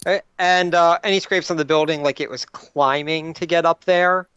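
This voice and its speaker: A man's voice: on the high side, fairly even intonation, moderate pace, fairly bright tone, clear, resonant tone, dry recording, lo-fi sound. A man playing a tabletop role-playing game, casually asking questions about the scene.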